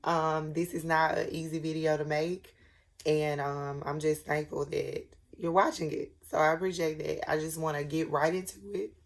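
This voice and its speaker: Raspy-voiced woman with a cold. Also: low-pitched, flat delivery, normal speed. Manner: earnest, reflective, many ums and restarts. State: sick with a head cold. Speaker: vlogger. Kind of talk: personal faith vlog, talking to camera. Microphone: camera at desk, glancing at notes.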